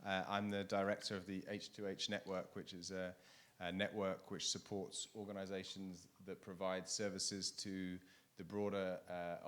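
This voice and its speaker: A man speaks from the audience of a large conference hall, introducing his work in a measured, explanatory way. His voice sits low and stays fairly flat in pitch. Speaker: network director, audience participant. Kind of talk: self-introduction.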